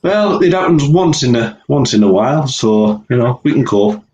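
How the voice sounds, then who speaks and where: A man's voice: medium pitch, lively intonation, moderate pace, darkish tone. A man with a head cold, chatting casually and laughing easily as co-host over a video call. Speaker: podcast co-host.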